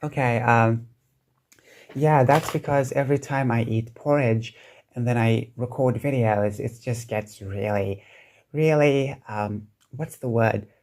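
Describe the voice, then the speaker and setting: A man chatting casually in a solo vlog, hesitant with lots of restarts and fillers, his throat sounding croaky. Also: medium pitch, slow pace, a little mellow. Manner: hesitant, many restarts and ums, enthusiastic. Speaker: vlogger.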